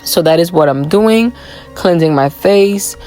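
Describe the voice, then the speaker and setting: A woman narrating a voiceover for her morning routine vlog, hoarse from a cold, chatty and relaxed with frequent "um"s. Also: fairly low pitch, a little slow, soft background music, low-quality recording. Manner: relaxed, chatty, many "um" fillers. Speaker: vlogger.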